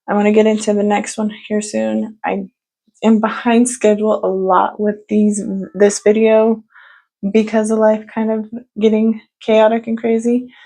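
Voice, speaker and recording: Casual creaky-voiced woman vlogger. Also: medium pitch, dark tone. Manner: chatty, rambling, many fillers. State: stuffy from allergies. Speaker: book vlogger. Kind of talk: casual book update chat.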